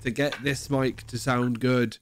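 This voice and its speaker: A man's voice: mid-range voice, steady tone, a little slow, a little hiss or hum. A man with a cold, stuffy and sore-throated, hosting a casual podcast chat, explaining things emphatically with plenty of swearing. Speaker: podcast host.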